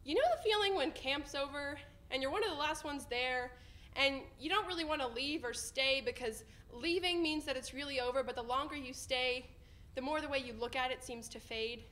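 A young woman performs slam poetry on an indoor stage. She has a high-pitched voice and delivers her phrases with emphasis, building them in rhythmic runs. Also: brisk, pushed and bright. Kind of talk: spoken-word poetry recital. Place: indoor stage in front of a curtain.

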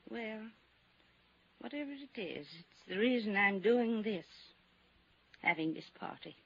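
Slow, creaky, weary society widow. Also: female voice, medium pitch, lively intonation, fairly bright tone, exaggerated voice. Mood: wistful, foreboding. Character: weary, wistful aging society widow hiding her fear. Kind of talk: 1950s radio drama acting. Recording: dull, noisy old radio recording.

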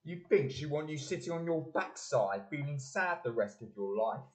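A man's voice: on the high side, expressive, moderate pace, close to an everyday voice. An older man playing a proud, encouraging father in a community audio play. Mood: urging. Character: proud, encouraging working-class father, earnest storyteller.

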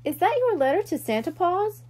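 A woman voicing a patient, reassuring mother cat-mermaid in a children's storybook, gently explaining. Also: extremely high pitch, sing-song, moderate pace, pitched above the performer's own voice. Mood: practical.

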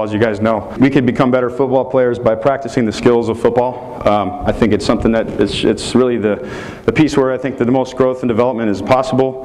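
A man's voice: mid-range voice, darkish tone, a little hiss or hum. A man in his thirties giving a live football technique clinic, explaining each move as he demonstrates it in a hotel meeting room. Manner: explanatory, walks through moves while demonstrating.

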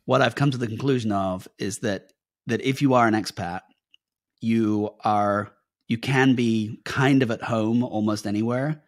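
Middle-aged British man with a US-softened accent, podcast host, measured and thoughtful, lowish voice, dry headset sound. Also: dry recording. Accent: British, softened by years in the US. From United Kingdom. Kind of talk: interview hosting. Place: home office with bookshelves, video call.

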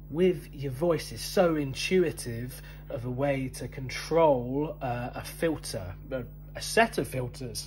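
A man's voice: medium pitch, darkish tone, poor audio. A British man in his thirties presenting an explainer to camera in a conversational, enthusiastic way. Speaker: museum video host. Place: indoor museum room full of synthesizers.

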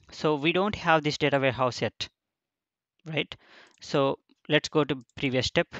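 A man giving a screen-recorded software tutorial, explaining each step with frequent fillers and restarts. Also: medium pitch, no echo, muffled recording. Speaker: instructor.

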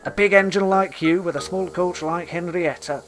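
Storybook casting: a boastful engine with a lifted, loud, sniffy delivery, put on by a male narrator. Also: high-pitched, steady tone, normal speed, music in the background, voice pushed up, lightly acted. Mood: sneering. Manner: haughty and scornful. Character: vain proud male red engine.

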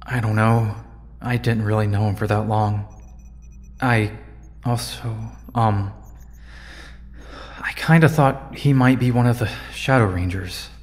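A man playing a guarded young superhero in an audio drama, halting and defensive in delivery. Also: fairly low pitch, fairly even intonation, in a large hall, close to an everyday voice. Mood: uneasy.

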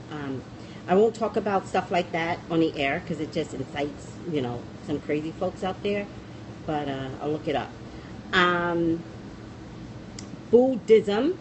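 A woman's voice: medium pitch, noisy background. A middle-aged woman hosting a psychic livestream, chatting in a rambling way that keeps trailing off and restarting, filmed on a phone at arm's length in a small room. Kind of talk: casual livestream chat. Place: small indoor room against a plain wall. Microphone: phone camera at arm's length.

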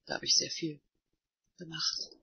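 A woman's voice: sits low, flat delivery, a little slow, creaky, husky, no room sound. A woman from Hamburg introducing herself as an activist in casual, hesitant talk full of fillers, recorded over an online voice chat. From Hamburg, Germany. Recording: dull, muffled online voice chat.

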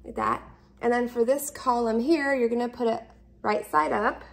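A woman in her thirties talks through a product demonstration in a casual, instructional way. Her voice sits fairly high and often falls into vocal fry. She explains each step simply and tends to repeat herself. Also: fairly even intonation, normal speed, slight background noise. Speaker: product reviewer. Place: indoors, open kitchen and dining room at home.

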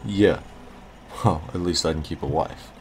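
Man playing Buck, a gruff low-voiced crook. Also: normal speed, darkish tone, slight background noise. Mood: needling. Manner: dry, dismissive. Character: Buck, gruff no-nonsense male drug dealer. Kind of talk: audio drama dialogue.

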